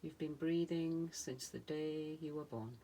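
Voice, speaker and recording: A woman meditation teacher leading a guided relaxation in a soothing manner, speaking in short phrases with long pauses. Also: low voice, steady tone, warm tone. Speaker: yoga nidra meditation teacher.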